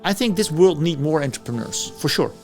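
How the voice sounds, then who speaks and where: A man's voice: fairly high pitch, lively intonation, brisk, vocal fry, darkish tone, faint music behind. A man, hosting a reaction video and giving earnest advice. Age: 50s. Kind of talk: reflective commentary.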